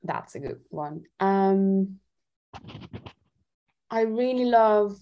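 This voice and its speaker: A woman's voice: mid-range voice, expressive, very slow, dull audio. A woman from Italy chatting casually and a little giggly as an interview guest, feeling her way through her phrases. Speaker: interview guest, singer-songwriter.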